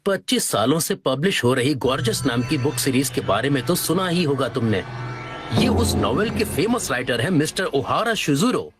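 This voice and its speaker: A man's voice: medium pitch, very animated, normal speed, natural voice. A man voicing an anxious, polite book editor in a Hindi cartoon dub, pleading and urgent, with music behind. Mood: earnest.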